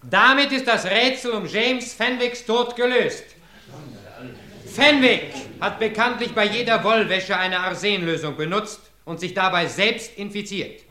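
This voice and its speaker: An actor playing a sharp, determined defense attorney in a 1950s radio drama, talking urgently and insistently. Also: male voice, high-pitched, measured pace. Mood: formal, triumphant. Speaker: defense lawyer. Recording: roomy old radio play recording.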